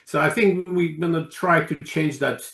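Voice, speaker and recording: Gravelly male guest, echoey room. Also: a little high, a bit bright. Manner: halting, searching for words, many restarts. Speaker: guest, organisation chairman. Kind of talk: casual interview talk.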